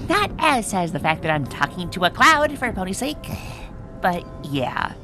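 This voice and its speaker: Woman acting a boastful young cartoon pony athlete, female, very swoopy intonation, dramatic, over clear music. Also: lowish voice, big pitch swings, moderate pace. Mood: scared, defensive. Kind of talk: animated comic dub acting.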